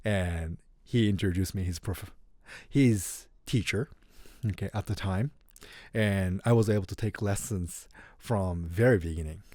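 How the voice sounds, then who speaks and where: Mellow low-voiced man, Japanese accent. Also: no echo, clean studio sound. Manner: relaxed, unhurried, light grammar slips. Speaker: guest musician. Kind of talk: casual interview storytelling.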